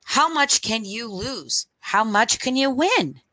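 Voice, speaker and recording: A middle-aged woman reading a picture book aloud for children at storytime, phrase by deliberate phrase, into a headset microphone. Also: a little high, lively intonation, bright, forceful tone, no echo.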